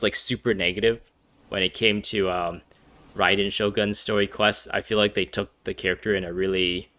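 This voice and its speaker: A man's voice: on the low side, pushed and bright, dry recording. A man giving casual gameplay commentary, rambling with many fillers and restarts. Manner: rambling, hesitant, frequent fillers and restarts, laughs often. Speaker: gaming commentator.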